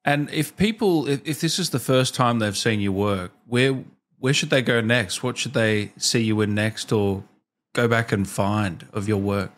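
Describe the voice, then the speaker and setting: A man's voice: mid-range voice, measured pace, fairly bright tone. A man in his thirties hosting a casual interview, teasing and playful, speaking close to a desk microphone. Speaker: interview host. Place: small indoor streaming room.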